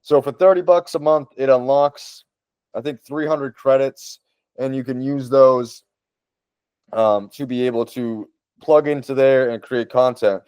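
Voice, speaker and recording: A man with a Boston accent talks casually and eagerly, doubling up words whenever he wants to stress a point. His voice sits at an ordinary middle pitch. The sound is close and dry, with no room around it. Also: fairly even intonation, a little slow, darkish tone, smooth, no echo. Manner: enthusiastic, repeats words for emphasis. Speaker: podcast host. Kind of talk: casual tutorial talk.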